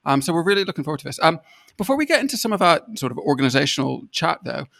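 A man's voice: fairly high pitch, very animated, rapid-fire, vocal fry, a bit bright. A man hosting a podcast and interviewing guests in an upbeat, encouraging way, often saying "sort of" and "um". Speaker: podcast host.

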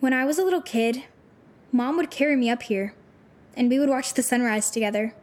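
An actress playing a troubled, disillusioned teenage runaway with superpowers in an audio drama, talking in halting, informal, youthful phrases. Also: female voice, a little high, a little mellow, lightly acted. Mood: wistful, sad.

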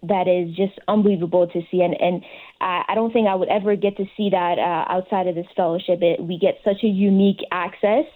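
A woman's voice: lowish voice, steady tone, brisk, phone-quality sound. A young adult woman speaking as an interview guest, giving conversational answers. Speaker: interview guest, sports fellowship participant.

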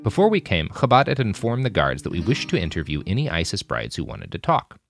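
A man narrating a documentary podcast in a measured, storytelling manner. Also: deep voice, huge pitch swings, vocal fry, faint music behind.

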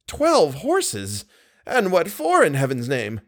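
A man's voice: sits high, huge pitch swings, normal speed, pitched above the performer's own voice. A man voicing a hot-tempered, sarcastic opera manager in a story, full of incredulous exclamations.

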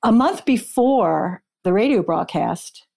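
A woman's voice: medium pitch, animated, slow, creaky, a little mellow. A woman speaking as an interview guest, an author who explains her research in an informative, detailed way.

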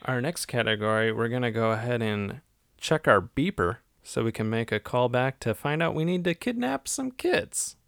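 A man's voice: medium pitch, lively intonation, moderate pace, gravelly, a bit bright, clean tone, no echo, studio quality. A man co-hosting a movie podcast, recapping a film in a casual, jokey and informal way.

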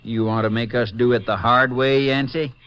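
An actor playing a folksy, blunt small-town sheriff with an American accent in a 1950s western radio drama. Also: male voice, mid-range voice, fairly even intonation, normal speed, a bit bright, smooth, natural voice. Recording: muffled, noisy old radio recording.

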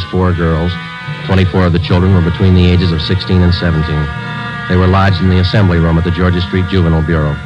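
Actor doing stoic american police sergeant, deep deadpan voice, clipped, old muffled radio show with music behind. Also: male voice, lightly acted. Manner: deadpan, matter-of-fact, terse. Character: stoic, terse police sergeant narrating his cases. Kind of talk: 1940s radio acting, clipped case narration.

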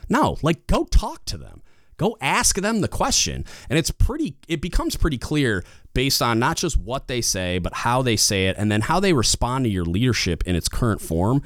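A man with a loud, booming voice giving casual leadership advice as a podcast host, getting louder when fired up. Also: wildly swooping pitch, moderate pace, no echo, studio quality. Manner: gets louder when fired up, rambling restarts.

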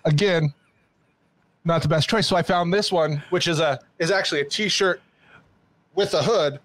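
A man's voice: on the high side, moderate pace, fairly bright tone. A man co-hosting a podcast in casual chat, rambling and self-deprecating as he tells stories. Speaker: podcast co-host. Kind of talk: casual banter and storytelling.

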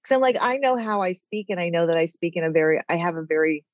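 A woman with a nasal voice talks in a rambling, chatty way, sitting a bit low in her range. The sound is dry and slightly dull, with no room around it. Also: lively intonation, fast talker, no room sound. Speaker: author and executive guest. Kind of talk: casual interview chat.

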